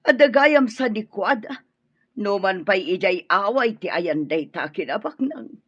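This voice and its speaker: A woman playing a needy, scheming middle-aged mother-in-law in an Ilocano radio drama, with a husky voice. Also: mid-range voice, lively intonation, a little slow, fairly bright tone. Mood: gossipy. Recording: dull audio with music underneath.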